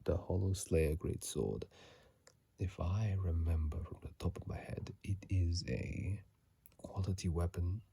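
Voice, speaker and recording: A person whispering ASMR commentary about a video game in a husky, breathy, halting way, recorded on a phone microphone. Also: low voice, dark tone. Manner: hesitant, halting, repeats words. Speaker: host of an ASMR channel.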